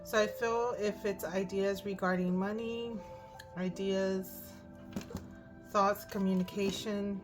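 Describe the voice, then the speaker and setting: A hoarse woman reading tarot cards aloud, thinking out loud and often asking "right?". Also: medium pitch, steady tone, slow. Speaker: tarot reader. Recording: music in the background.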